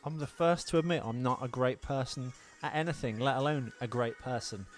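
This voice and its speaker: A man's voice: medium pitch, fairly quick, faint music behind. A man hosting a casual film podcast, bantering in a sweary, self-deprecating way. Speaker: podcast host.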